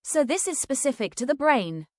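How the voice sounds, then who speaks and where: A woman's voice: sits high, very animated, normal speed, dry recording, studio quality. A woman reading scripted passages aloud as narration. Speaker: reader of practice passages.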